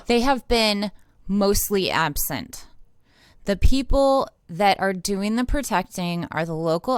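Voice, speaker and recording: Smooth, low-toned American woman, blunt political commentary. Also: fairly bright tone, no echo, clean studio sound. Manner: blunt, indignant, swears freely. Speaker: independent online political commentator and activist. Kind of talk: impassioned unscripted political commentary to camera.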